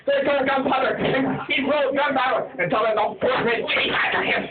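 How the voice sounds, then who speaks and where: High-voiced man excitedly telling a spooky tale. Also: noisy background. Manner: halting, repetitive, run-on, dramatic. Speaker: amateur storyteller. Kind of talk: excited spoken retelling of a spooky story, acting out cries and quotes. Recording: tinny phone-like sound, distant, echoey, loud chatter. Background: people talking loudly nearby.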